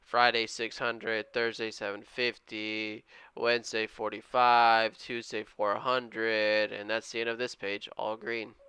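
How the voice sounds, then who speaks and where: A young man in his twenties hosts a live trading stream, with a bright, forceful tone and a crisp, smooth voice. He is upbeat and friendly, drops casual slang, and keeps thanking viewers. The sound is dry and close, with no room around it. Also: on the low side, level tone, slow pace, few pauses, dry recording. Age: 20s. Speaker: live-stream day trader.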